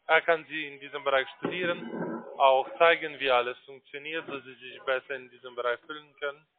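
A man with a foreign accent making a phone sales pitch in formal, halting German with grammar slips. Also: fairly even intonation. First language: not German. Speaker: call-centre salesman. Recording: tinny narrow phone line.

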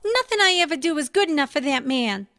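An actress playing a young, eager would-be starlet with a plaintive, gushing manner. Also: female voice, high voice, animated, fast talker, bright, forceful tone, no room sound, studio quality, heavily acted. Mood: whining.

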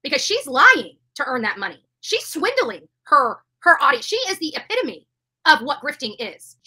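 A woman's voice: high voice, sing-song, normal speed, bright, forceful tone. A middle-aged woman hosting a livestream, giving indignant commentary in an emphatic way, repeating phrases for stress. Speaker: livestream host. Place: bedroom, indoors.

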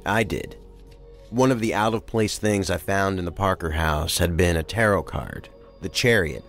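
An actor playing a methodical, matter-of-fact federal agent in an audio drama. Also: male voice, sits low, moderate pace, a bit bright, faint music behind.

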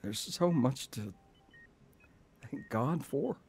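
A man playing a contrite older brother, earnest and gently wry, in a radio drama. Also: mid-range voice, expressive, takes it slowly, creaky, husky, full character voice. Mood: grateful, wry. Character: contrite older brother, newly grateful and sincere.

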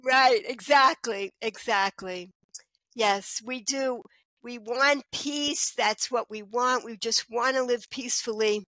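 A woman's voice: on the high side, slow, no echo. A middle-aged woman on a video call through a headset mic, chatting animatedly as a guest and often saying "you know". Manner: animated, gestures a lot, lots of "you know". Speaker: guest, children's author. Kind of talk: casual video call chat. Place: small room at home.

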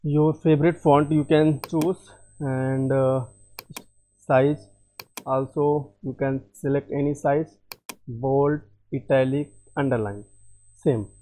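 A man walking through a software tutorial, halting and often repeating or correcting himself. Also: mid-range voice, fairly even intonation, a little hiss or hum. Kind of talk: screen-recorded software tutorial.

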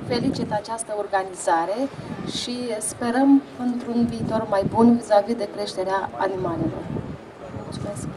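A woman giving a brief congratulatory speech as an official at an outdoor event. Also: fairly high pitch. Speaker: veterinary authority representative. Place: open-air fairground stage. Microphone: distant camera, speech over loudspeakers. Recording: distant noisy outdoor recording.

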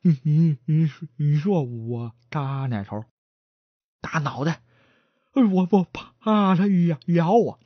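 A nervous, timid constable who stammers and repeats himself at every turn, put on by a male storyteller. The voice is husky and dry, and the recording sounds dull and lo-fi. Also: fairly high pitch, lively intonation, no echo, full character voice. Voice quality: heavy stutter, halting repeats. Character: timid stuttering man, a lowly constable. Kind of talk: traditional storytelling, acted dialogue.

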